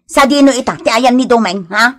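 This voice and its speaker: An actress playing a fiery, scolding wife with a Filipino accent in a melodramatic radio comedy drama. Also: female voice, a little high, fairly quick, natural voice. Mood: upset, questioning.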